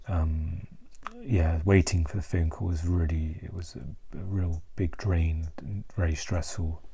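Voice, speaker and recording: Very deep, subdued man, hesitant. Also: fairly even intonation, creaky, warm tone, slight background noise. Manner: hesitant, halting, searching for words. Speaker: mental health vlogger. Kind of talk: confessional vlog monologue.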